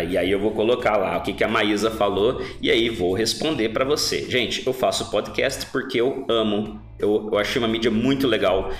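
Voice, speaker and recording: A man's voice: lowish voice, reverberant. A man hosting a casual podcast lesson as an English teacher, chatty and keeps checking in with his listeners.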